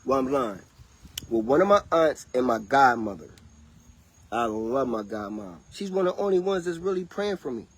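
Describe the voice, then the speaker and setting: A man rambles and preaches in a loose, easygoing way on a casual livestream. His voice sits at an ordinary pitch, recorded outdoors with faint cricket and insect noise behind him. It sometimes drifts away from the mic. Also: expressive, moderate pace. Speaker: vlogger.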